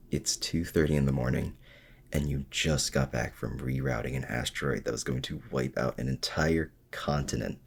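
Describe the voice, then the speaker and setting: Guy doing a supervillain for asmr roleplay, super deep n crackly, casual and halting, secretly soft on his hero rival. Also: heavily acted. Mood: probing, concerned. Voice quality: very deep, gravelly. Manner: casual, halting, confiding, teasing. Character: feared male supervillain, self-doubting, secretly smitten with his heroic rival. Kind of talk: intimate ASMR roleplay audio drama, addressing the listener.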